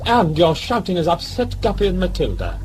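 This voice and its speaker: A man's voice: high voice, huge pitch swings, a little fast, darkish tone, soft background music. A man playing an imperious, short-tempered governor in a sci-fi audio drama, pompous and dismissive. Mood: angry, dismissive. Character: irascible, imperious male governor of a scrap ship.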